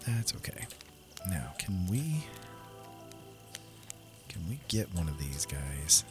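A man with a low voice talks through a video game in a casual, easygoing way, thinking out loud. Game music plays clearly behind him. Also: very animated, slow pace. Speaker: gaming video host. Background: game soundtrack music.

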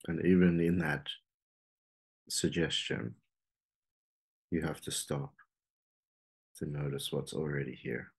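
A man leading a guided meditation in slow, unhurried phrases with long pauses. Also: rumbling low, husky.

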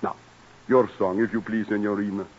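A husky-voiced old European music master, courtly and admiring. He speaks in formal, measured phrases, in the style of an old radio play, on a muffled vintage broadcast. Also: lowish voice, level tone. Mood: admiring, solemn. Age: older. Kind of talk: old-time radio drama acting.

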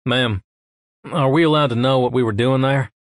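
A man voicing a young, injured male fighter pilot in an audiobook, low and strained. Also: normal speed, no echo, clean studio sound, natural voice.

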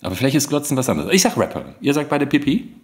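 A man's voice: mid-range voice, huge pitch swings, very fast. A man in casual banter as a panelist on a podcast.

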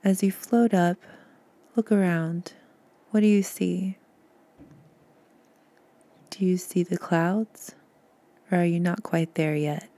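A woman guiding a meditation in a soft, soothing, gentle voice, breathy at times, with unhurried pauses. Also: fairly low pitch, steady tone. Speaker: podcast host and meditation guide. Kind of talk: guided meditation narration.

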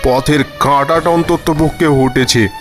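A man's voice: on the high side, fairly even intonation, unhurried, soft background music, lightly acted. A man voicing a cruel but cowardly village uncle in a cartoon fairy tale, exaggerated and repetitive. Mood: spiteful.

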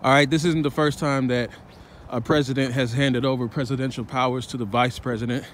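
A man's voice: medium pitch, steady tone, brisk. A man talks while walking down a city sidewalk, preaching his views in a street-slang manner and checking in with "all right?" and "man" again and again. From Chicago, United States. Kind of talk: walking vlog commentary. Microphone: phone held while walking. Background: faint traffic and street ambience.

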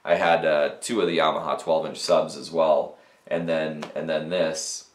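A man in his thirties with a low, deep voice talks through a piece of gear in a relaxed, technical way, with plenty of fillers and restarts. He sits at a desk in a small office, and the room gives his voice a noticeable echo. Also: moderate pace, slight background noise. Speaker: audio gear reviewer. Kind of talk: casual gear review to camera. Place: small cluttered office with speakers and mixers.

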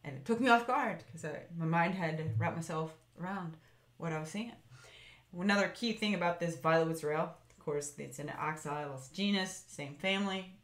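Low-voiced woman narrating plant slides, echoey. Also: normal speed. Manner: chatty, meandering, with fillers and self-corrections. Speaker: foraging channel host. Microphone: voice-over over slides.

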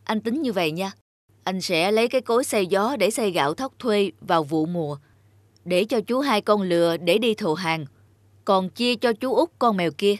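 A woman's voice: medium pitch, expressive, moderate pace, no echo, lightly acted. A woman voicing a bossy young man, the eldest brother in a cartoon fairy tale.

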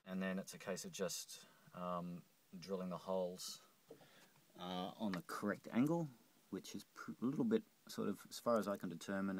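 Low-voiced man fumbling through a boat repair. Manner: hesitant, many ums and restarts. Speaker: boat owner showing a repair. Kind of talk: casual how-to walkthrough. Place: on a boat cockpit, indoors at the door track. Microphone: handheld phone camera.

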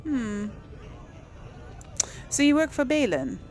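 A woman's voice: sits high, big pitch swings, takes it slowly, darkish tone. A woman hosting a casual game playthrough, chatty and hesitant as she thinks aloud. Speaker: gaming video host. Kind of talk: casual let's play commentary.

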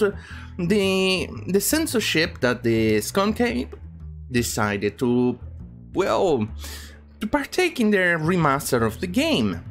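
A man's voice: high voice, a bit bright. A man in his thirties, not a native English speaker, hosting an indignant, rambling commentary video from home. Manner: indignant, rambling, many fillers. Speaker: commentary channel host. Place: home room with bookshelves.

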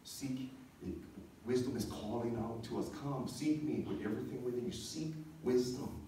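A man's voice: mid-range voice, moderate pace, warm tone. A man in his thirties preaching a sermon as a pastor, teaching conversationally with repeated points and check-in questions, in a church. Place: church sanctuary, speaking from the stage. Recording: echoey church hall, distant mic, faint noise.

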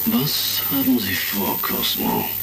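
An actor playing a stern secret service chief in a retro sci-fi radio play, measured and authoritative. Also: male voice, very deep voice, wildly swooping pitch, creaky, full character voice. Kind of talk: retro science fiction radio play acting. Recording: distant, loud music, vintage crackle.

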